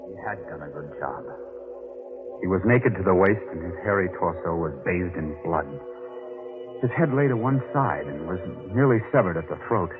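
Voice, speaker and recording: An actor playing a haunted, obsessive newspaper reporter in an old-time radio mystery, brooding, halting and intense in his delivery. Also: sits low, expressive. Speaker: narrator and lead character. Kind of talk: old-time radio mystery acting, dramatic first-person narration. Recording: muffled vintage radio recording with music.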